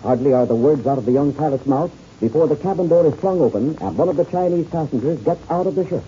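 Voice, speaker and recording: A man's voice: on the high side, animated, fast talker, mellow. A man narrating a 1930s radio serial as its announcer, in a scripted storytelling style. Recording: muffled, noisy old radio recording.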